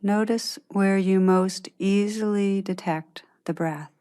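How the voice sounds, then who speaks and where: A woman guiding a meditation in a gentle, unhurried, soothing manner, giving calm instructions with long pauses. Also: mid-range voice, smooth. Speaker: meditation teacher guiding a practice. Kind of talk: guided meditation, scripted instruction.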